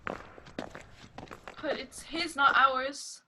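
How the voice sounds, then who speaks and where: A frightened, lovesick young maid, voiced by a woman. Her voice is high and hesitant, with clear noise behind it. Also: fairly even intonation, extremely slow, sharp tone, full character voice. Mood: fearful. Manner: timid, hesitant. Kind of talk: amateur radio play acting.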